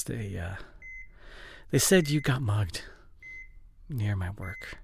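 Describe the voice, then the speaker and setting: A young man playing a remorseful drinking boyfriend in an intimate audio roleplay, speaking in a halting, apologetic, soft and pleading way. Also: lowish voice, a little hiss or hum. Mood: tender, uneasy.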